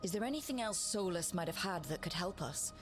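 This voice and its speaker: An actress playing a steady, resolute young party leader in a fantasy game, with a plain, earnest, decisive delivery. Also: female voice, lowish voice, a little fast, music in the background, close to an everyday voice. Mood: earnest, inquiring.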